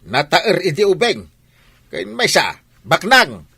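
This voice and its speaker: Audio drama father male actor: husky, bossy, scolding, lively swings in pitch, dry close sound. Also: slow pace, dry recording, full character voice. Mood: insistent, scolding. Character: stubborn, scolding father arranging his daughter's marriage. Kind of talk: Ilocano audio drama acting.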